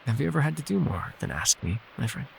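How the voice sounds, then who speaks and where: A man playing a gentle, weary immortal elf healer with a wry streak, speaking softly in measured, formal phrases for an intimate fantasy ASMR roleplay. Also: medium pitch, big pitch swings, vocal fry, slight background noise, rough recording. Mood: wry, chiding.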